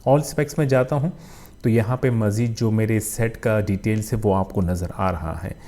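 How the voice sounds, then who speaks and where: A man's voice: on the low side, moderate pace, dark tone. A man with a Pakistani accent narrating a casual phone review as a channel host, often using filler phrases and mixing in English tech terms.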